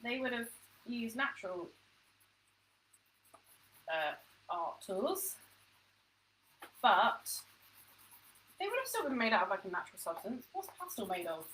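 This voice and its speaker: A woman's voice: on the high side, big pitch swings, a little slow, long pauses, fairly bright tone, a little hiss or hum, rough recording. A woman teaching a home-education history lesson to camera, chatty and self-deprecating, thinking aloud as she goes.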